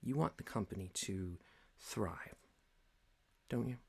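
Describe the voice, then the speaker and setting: A man playing a stern, pompous office boss in an ASMR roleplay, speaking formally and deliberately with theatrical pauses. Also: on the low side, animated, vocal fry, husky, exaggerated voice. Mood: grave, softly lecturing. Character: stern, pompous male office boss, mock-solemn, paternal. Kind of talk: scripted ASMR roleplay monologue.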